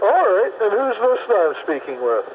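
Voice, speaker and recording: A man's voice: high-pitched, sing-song, moderate pace, noisy background. Chatty man on muffled car CB radio. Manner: chatty, upbeat, narrating his drive. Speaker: mobile CB radio operator. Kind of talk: casual CB radio chat. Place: in his car, driving. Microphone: mobile CB radio handset.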